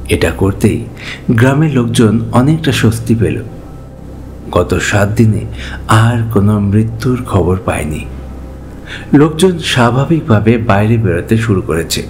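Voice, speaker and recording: A man's voice: on the low side, a little mellow. A man narrating a scripted horror story as its storyteller. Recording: music behind the narration.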